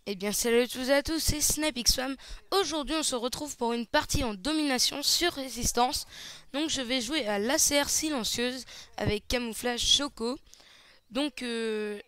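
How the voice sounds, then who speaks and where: A man giving casual, hesitant play-by-play commentary over a shooter match, slightly hoarse, with lots of fillers. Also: very high-pitched, normal speed, pushed and bright. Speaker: gaming channel host. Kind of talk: gameplay commentary.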